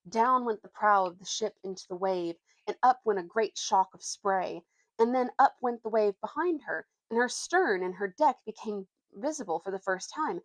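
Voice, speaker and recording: A woman reading a children's adventure book aloud as an expressive storyteller. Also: medium pitch, no room sound.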